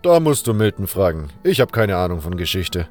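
A man's voice: low-pitched, sing-song, fairly quick, darkish tone, soft background music, voice pushed down. A man voicing a blunt, unschooled adventurer in an audio drama, rambling along in a colloquial, unbothered way. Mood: musing, offhand. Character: gruff, carefree male adventurer, unschooled and blunt. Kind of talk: fan audio drama dialogue.

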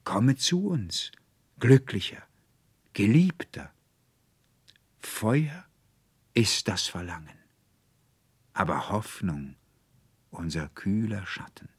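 Male reader as yearning enchanted nature spirits, storybook. Slow, drawn-out, breathy, beckoning with adoration. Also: on the low side, lively intonation, dry recording, heavily acted. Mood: pleading, loving. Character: adoring, yearning spirits of flowers, fire, streams and birds.

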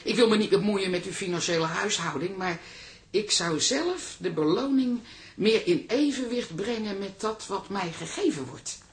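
An actress plays a pushy Dutch working woman in a radio comedy. She is mock-polite and insistent, with a mid-pitched voice that swoops animatedly. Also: lively intonation, moderate pace, close to an everyday voice. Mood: nagging. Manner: insinuating, mock-polite, nagging. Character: pushy, chatty female toilet attendant, insistent and proud. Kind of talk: radio comedy drama acting.

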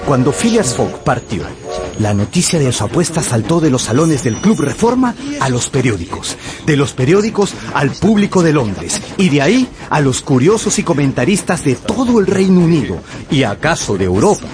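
A man's voice: on the high side, very animated, normal speed, background noise. A man narrating a radio novel as a scripted, dramatic storyteller.

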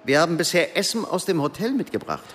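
A man's voice: fairly high pitch, big pitch swings, fast, a bit bright, a little hiss or hum. A man acting a lonely, hesitant middle-aged American businessman who turns impatient at work, in a German radio drama. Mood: assertive. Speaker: actor in a radio play.

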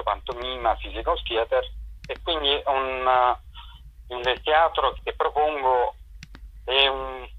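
A middle-aged man explains things in an interview, hesitating and searching for words. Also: mid-range voice. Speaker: theatre director and performer. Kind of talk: informal spoken interview answers. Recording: dull lo-fi telephone-radio sound.

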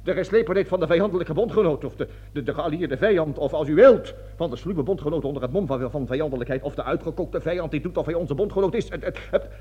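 A dull, lo-fi old radio drama recording of a cowardly army colonel. His voice sits fairly high and a little rough as he blusters, stammers and pleads, forever repeating and justifying himself. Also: quick pace, few pauses. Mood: flustered pleading. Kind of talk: theatrical radio drama acting.

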